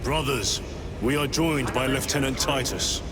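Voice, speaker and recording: A man's voice: medium pitch, a little slow, a crowd in the background, close to an everyday voice. An actor playing a formal, respectful male warrior sergeant in a game.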